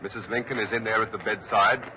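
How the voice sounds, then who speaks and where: A man playing a grave field reporter in a 1940s radio drama, speaking in a somber, measured way. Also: lowish voice, steady tone, noisy background, close to an everyday voice. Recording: muffled 1940s radio recording, crowd behind.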